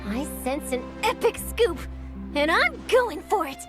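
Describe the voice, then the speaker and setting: A woman voicing an eager young female news reporter who tells stories briskly and dramatically with great self-assurance, over game music. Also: extremely high pitch, very animated, full character voice. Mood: excited. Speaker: journalist. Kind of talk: game cutscene dialogue.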